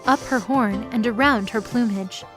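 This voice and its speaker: A woman's voice: on the high side, moderate pace, over music. A woman reading scripted narration for an audio drama story.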